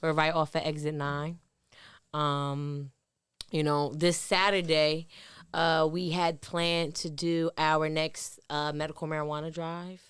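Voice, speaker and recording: Jersey woman in her 30s, therapist guest on a podcast, super clean smooth voice, lots of filler words. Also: low-pitched, little pitch movement, takes it slowly, dry recording, studio quality. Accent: New Jersey. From New York City and central New Jersey. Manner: chatty, loose, many fillers. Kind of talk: casual podcast interview.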